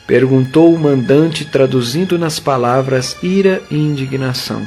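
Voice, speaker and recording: A man with a Brazilian accent giving solemn, measured dramatic narration for an audio novel. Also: mid-range voice, darkish tone, faint music behind.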